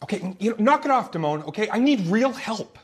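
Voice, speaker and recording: A man plays an awkward teenage boy in a comedy table read. His husky, slightly raised voice stammers and restarts nervously, as if unsure of every word. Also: high-pitched, very animated, heavily acted. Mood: anxious, pleading. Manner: stammering, halting, nervous laughs. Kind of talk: comic screenplay table read.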